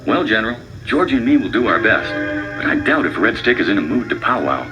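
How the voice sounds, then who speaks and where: An actor playing a plain-spoken frontier hero with a folksy drawl. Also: male voice, lowish voice, big pitch swings, fast talker, dull audio. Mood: steady. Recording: voice over loud music. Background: loud background music.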